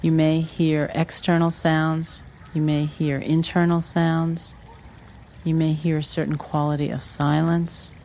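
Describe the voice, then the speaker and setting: Meditation teacher talking real slow in short phrases, guiding breathing, water noise in the background. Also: medium pitch, level tone, darkish tone. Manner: measured, instructional, with short pausing phrases. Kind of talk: guided meditation instruction. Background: trickling water ambience.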